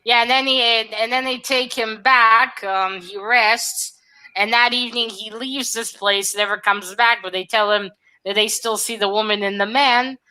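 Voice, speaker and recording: Female co-host, crisp forward bright tone, slightly high pitch, emphatic storyteller in informal book talk. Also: fairly even intonation, a little slow, rough recording. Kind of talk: casual book discussion.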